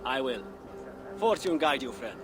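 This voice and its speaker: A man's voice: medium pitch, lively intonation, unhurried, close to an everyday voice. An actor playing a friendly, courteous Viking townsman and customer in a video game cutscene. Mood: warm, admiring. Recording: music behind the voice.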